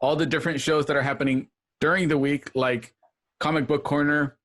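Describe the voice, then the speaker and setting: A man's voice: a little high, level tone, fairly quick, fairly bright tone. A man hosting, rambling through thanks and show plugs in casual chat from home, laughing often. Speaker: show host. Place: home, over a video call. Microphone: home setup.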